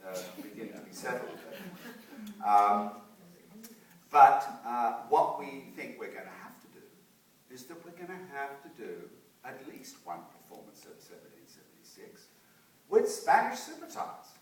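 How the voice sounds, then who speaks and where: A man leading a post-show talkback in a theatre, animated and restarting his sentences often. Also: medium pitch, normal speed. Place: theatre auditorium. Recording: echoey theatre, distant mic.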